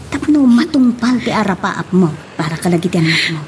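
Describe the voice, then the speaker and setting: A woman's voice: mid-range voice, expressive, brisk, slight background noise, lightly acted. A woman acting emotional, outspoken characters in an Ilocano audio drama. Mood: consoling, advising.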